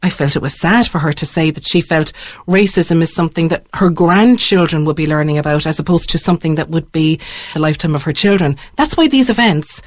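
An Irish woman presents an arts programme on radio in a slightly low voice, recorded close and dry. She is effusive and wordy, interviewing in a conversational way. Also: brisk, no echo. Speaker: arts radio show host.

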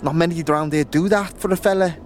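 A man playing a shifty, chatty young street guide in an audio drama, with halting slangy talk. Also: on the high side, slight background noise, pitched above the performer's own voice, lightly acted. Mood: wheedling.

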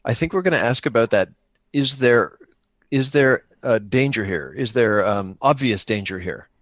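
A man's voice: mid-range voice, expressive, moderate pace, a bit bright, no echo. A man co-running an improvised tabletop game, narrating casually and thinking aloud in a joking way. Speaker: co-player running a solo-engine tabletop game.

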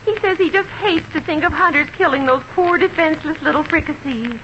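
A woman's voice: high-pitched, a little fast, dull audio, heavy background noise, close to an everyday voice. A woman playing a sweet, credulous young American housewife in a 1950s radio comedy, on an old recording. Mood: earnest, chatty.

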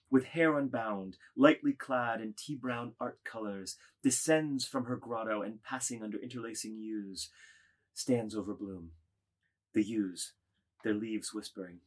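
A man reading scripted literary stage directions as narrator, in a measured delivery. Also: lowish voice.

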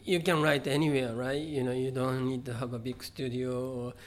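A middle-aged man from Japan with a Japanese accent, answering interview questions about his art in a halting way, with many fillers and restarts. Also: mid-range voice, little pitch movement. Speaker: visual artist, interview guest. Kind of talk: conversational interview.

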